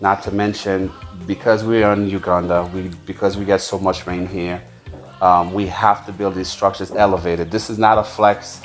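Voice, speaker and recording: A man with a low-pitched voice talks casually as the host of a farm tour. He explains point by point, sometimes halting and repeating a word before moving on. Faint music plays along with him. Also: little pitch movement. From United States. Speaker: farm owner and video host.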